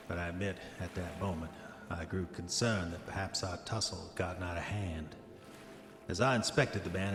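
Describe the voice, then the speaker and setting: A man's voice: sits low, fairly bright tone. An actor playing a haunted, hot-tempered prospector confessing in his diary, with formal old-fashioned, brooding delivery in a horror audio drama. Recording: echoey voice with long reverb, music behind. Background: music.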